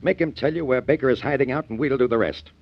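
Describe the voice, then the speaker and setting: A man's voice: medium pitch, fast talker, husky. A man playing an authoritative but caring prison warden in a 1940s radio drama. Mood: cautioning. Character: stern but secretly concerned male prison warden. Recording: dull vintage radio recording.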